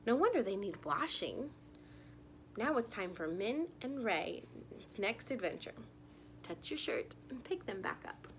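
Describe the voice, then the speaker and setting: A woman's voice: a little high, very animated, measured pace, a little hiss or hum. A young woman reading a children's picture book aloud, expressively, addressing little listeners directly with questions. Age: young adult. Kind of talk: children's story read-aloud. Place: living room at home.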